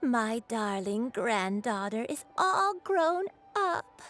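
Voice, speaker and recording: Sweet forgetful game grandma, slow and wistful, lilts up and down. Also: female voice, fairly high pitch, big pitch swings, a bit bright, heavily acted. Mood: tender, doting. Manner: slow, wistful, tender speech. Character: gentle, forgetful, doting elderly grandmother. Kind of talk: video game voice acting.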